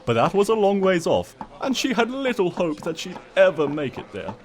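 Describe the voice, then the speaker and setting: A man reads a comic story as a theatrical narrator. His voice sits on the high side and swoops widely, with a smug, wry delivery. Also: sing-song, normal speed.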